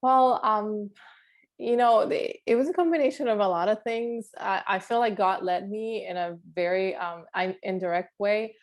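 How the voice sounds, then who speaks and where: A woman's voice: mid-range voice, normal speed. A woman in her thirties from Puerto Rico, an architect talking about her life on a video call, laughing often and using many fillers. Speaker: guest alumna, architect.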